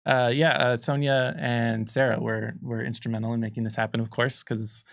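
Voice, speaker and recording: A man speaks as a game designer guesting on a casual livestream. His mid-range voice has a noticeable creak, and he comes across as easygoing and wry in a dry studio recording. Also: medium pitch, little pitch movement, normal speed, no echo. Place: indoor studio.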